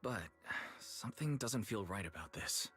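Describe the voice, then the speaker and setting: An actor playing a concerned, level-headed ally in scripted game dialogue. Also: male voice, on the low side, lively intonation, moderate pace, a bit bright, soft background music.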